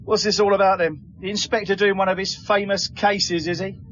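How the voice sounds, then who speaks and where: An actor playing a cheeky, grudging working-class taxi driver in a radio drama, chatty and colloquial. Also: male voice, sits high, fairly even intonation, a little fast, a bit bright, no room sound. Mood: grumbling, cheeky. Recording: dull sound with faint vehicle noise.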